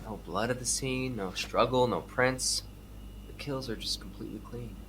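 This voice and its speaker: A man's voice: medium pitch, normal speed, a bit bright, slight background noise, natural voice, low-quality recording. An actor playing a probing police detective who questions witnesses and narrates his case. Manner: probing, matter-of-fact. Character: dogged male police detective, weary and probing. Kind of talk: audio drama acting with narration.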